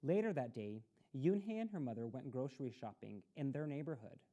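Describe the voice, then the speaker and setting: A woman reading a children's story aloud expressively, in a deliberate manner suited to young listeners. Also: a bass voice, lively intonation, mellow, lo-fi sound. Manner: deliberate, with explanatory asides to children. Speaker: storytime reader.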